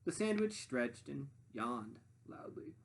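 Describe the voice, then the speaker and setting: A woman reading a storybook expressively to children, with dramatic pauses and asides to her young listeners. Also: extremely low pitch, very animated, gravelly. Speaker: storybook reader.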